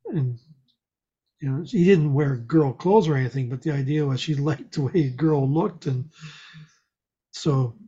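A man chatting casually and telling personal stories in a wry, unhurried way. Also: mid-range voice, dark tone, husky. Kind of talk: casual chat, personal storytelling. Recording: dull, muffled lo-fi sound.

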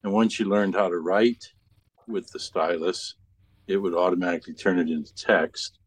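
A man with a fairly low voice chats informally. He rambles through his thoughts in a halting manner, repeating words as he works them out. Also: steady tone. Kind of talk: casual chat, rambling anecdotes.

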